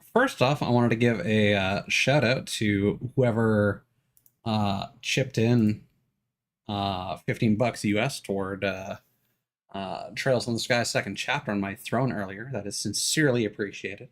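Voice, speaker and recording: A man chatting casually on a live game stream in a halting, polite, slightly formal way. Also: fairly low pitch.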